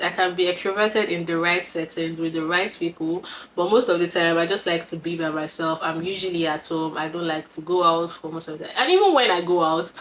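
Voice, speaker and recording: A woman's voice: lowish voice, a bit bright, a little hiss or hum. A Nigerian woman in her twenties, chatting to camera as a vlogger in a giggly, talkative way. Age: 20s. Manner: chatty and giggly, with frequent laughs. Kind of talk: casual talk to camera.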